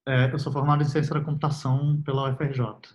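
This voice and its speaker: A young man, a software developer talking casually in an interview, relaxed and full of fillers, laughing easily. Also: mid-range voice, fast. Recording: slightly muffled audio.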